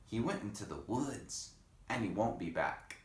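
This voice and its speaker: A man's voice: on the low side, animated, a little slow, echoey room. A man voicing a small mouse who bears bad news in a matter-of-fact way.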